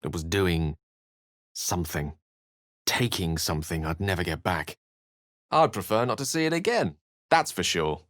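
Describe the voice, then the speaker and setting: An actor playing a learned, courteous and wry wizard with a touch of melancholy, speaking in articulate, theatrical phrases for a video game. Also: male voice, low voice, lively intonation, moderate pace, pauses often, fairly bright tone, no echo, clean studio sound. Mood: troubled. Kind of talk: video game character lines and narration.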